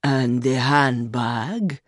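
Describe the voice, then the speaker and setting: A woman voicing an older rich man, a smooth, condescending and fatherly secret lover in an audiobook story. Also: very deep voice, extremely slow, no room sound, studio quality, pitched below the performer's own voice, heavily acted. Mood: smooth, probing. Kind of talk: acted dialogue in audiobook story.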